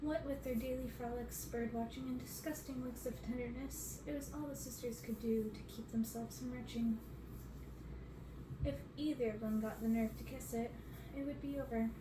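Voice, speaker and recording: Young woman reading a fairy tale asmr style, highish voice, noisy room recording. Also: steady tone, moderate pace. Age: young adult. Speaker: ASMR reader. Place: bedroom, indoors. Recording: roomy, distant, clearly noisy bedroom recording.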